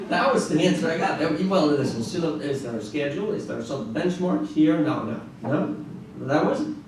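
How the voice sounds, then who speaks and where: A middle-aged man from Norway giving a conference talk as a classical guitarist, telling stories in an animated way with frequent restarts and "right?" asides. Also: a little high, slow pace. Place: large echoey conference room. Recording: echoey room, distant mic, faint noise.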